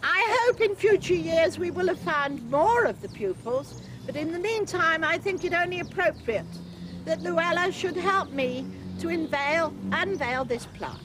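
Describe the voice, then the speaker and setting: An elderly English woman with a high voice, talking in an informal, chatty manner. She is recorded outdoors, with loud background noise and plenty of crowd sound around her. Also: animated, a little slow. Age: older, 70s. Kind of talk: informal public address and reminiscence. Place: outdoors on a street among onlookers.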